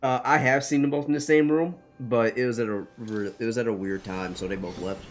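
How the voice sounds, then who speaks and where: A man's voice: medium pitch, fairly even intonation, a little fast, a little hiss or hum. A man live-streaming a game in casual chat, blunt, sweary and joking.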